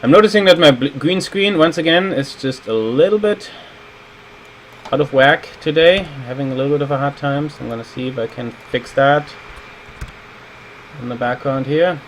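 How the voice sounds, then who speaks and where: A man's voice: mid-range voice, normal speed, a little hiss or hum, poor audio. A man in his thirties with a German accent, a native German speaker, giving casual livestream game commentary as a level designer and host. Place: indoors at a computer, streaming.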